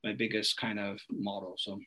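Chatty man, relaxed and laughing. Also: on the low side, moderate pace. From Newfoundland, Canada. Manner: relaxed and chatty, laughs often, many fillers and restarts. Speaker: food bank guest. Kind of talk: casual interview chat.

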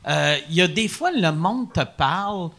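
High, lively Québécois podcast host. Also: male voice, moderate pace, gravelly. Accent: Québécois French. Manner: animated questions, laughing and swearing. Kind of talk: casual podcast chat.

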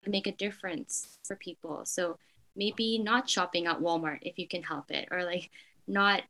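A woman's voice: mid-range voice, low-quality recording. A young woman from Canada answering interview questions in a casual, reflective way, full of "like" fillers. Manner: frequent "like" fillers, soft and hesitant.

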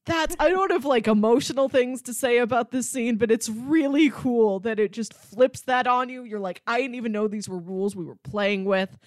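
A woman's voice: a little high, normal speed, few pauses, dry recording, studio quality. A woman co-hosting a podcast, chatting informally about a film and acting out bits of dialogue as she retells scenes. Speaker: podcast co-host. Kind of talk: casual film discussion.

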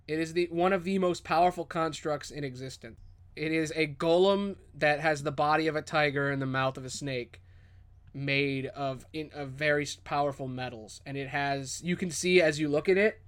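A man's voice: on the high side, fairly bright tone, clear, resonant tone. A man running a tabletop game as game master, improvising vivid narration in an informal, sweary way.

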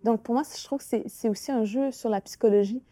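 A woman with a Quebec French accent whose first language is Innu, talking through her ideas slowly, with frequent restarts. Her voice is mellow and warm. The sound is dry and close. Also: a little high, no room sound. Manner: thoughtful, hesitant, many restarts and "tu sais". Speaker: author and artist guest. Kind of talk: reflective conversational interview.

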